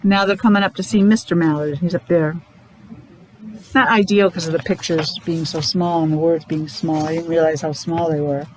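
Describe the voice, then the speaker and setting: A woman's voice: low-pitched, steady tone, a little mellow, a little hiss or hum, poor audio. A woman preschool teacher leading a counting lesson for young children, encouraging and asking lots of questions. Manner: encouraging, asks questions with pauses.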